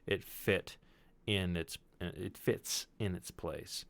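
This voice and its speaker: A man's voice: deep voice, slow, gravelly. A man co-hosting a casual chat, with a wry, teasing way of talking.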